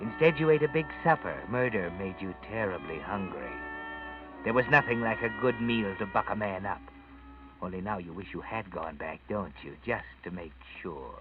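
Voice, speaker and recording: An actor playing an ominous, all-knowing male narrator who taunts a murderer with deliberate insinuation, in 1940s radio drama style. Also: sits low, expressive. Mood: knowing, taunting. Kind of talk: 1940s radio acting, second-person suspense narration. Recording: tinny vintage radio recording, music behind. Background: dramatic music.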